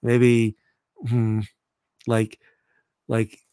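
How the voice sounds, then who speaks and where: A man in his thirties chatting casually as a podcast host, halting and often repeating words as he goes. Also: monotone, background noise. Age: 30s. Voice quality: deep voice. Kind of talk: casual chat.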